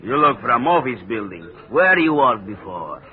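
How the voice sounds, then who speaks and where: An actor playing a blunt foreign steelworker who speaks clipped, broken English in a 1950s radio drama. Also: male voice, medium pitch, sing-song, moderate pace, close to an everyday voice. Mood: gruff. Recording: tinny old radio broadcast.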